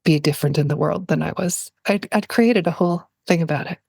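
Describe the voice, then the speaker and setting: Lower-pitched woman, earnest storyteller. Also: brisk, gravelly, a little mellow, slightly rough, no room sound, studio quality. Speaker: podcast guest, poet. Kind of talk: reflective conversational storytelling.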